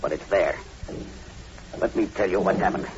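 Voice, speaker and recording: An actor playing a grim, uneasy plantation manager in a 1940s radio drama, speaking earnestly and with foreboding. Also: male voice, deep voice, normal speed, natural voice. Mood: insistent, warning. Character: grim, uneasy male plantation manager, long-time islander. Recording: muffled, very noisy old radio recording.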